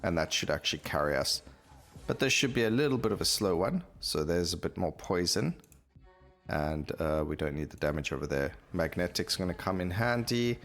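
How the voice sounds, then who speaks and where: A man's voice: sits low, animated, moderate pace, fairly bright tone, soft background music. A man giving casual video game commentary, thinking aloud and explaining his choices as he plays. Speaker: gaming YouTuber.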